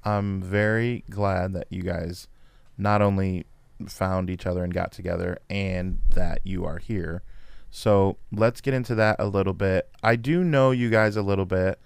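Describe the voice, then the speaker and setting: Gravelly low-voiced male podcast host. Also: fairly even intonation, unhurried, no room sound. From California family roots. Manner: chatty, laughs easily. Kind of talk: casual interview chat.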